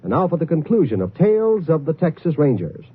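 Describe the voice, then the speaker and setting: A man's voice: a little high, big pitch swings, fairly quick, very noisy. A man working as a network radio announcer, reading promos in a 1950s broadcast style. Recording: narrow-band vintage radio broadcast.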